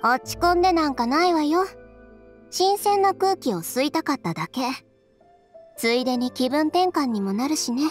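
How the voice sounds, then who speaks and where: A woman's voice: high-pitched, expressive, moderate pace. A woman playing a self-assured, independent young female designer in a Japanese game dub, speaking in a cool, matter-of-fact, casual way. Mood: cool, unbothered. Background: faint background music.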